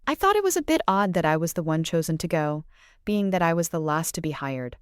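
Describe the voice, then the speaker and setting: A woman voicing a sharp, worried young woman with an expressive, anxious way of speaking. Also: low voice, a little fast, clean tone, no room sound, studio quality. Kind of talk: audio drama storytelling.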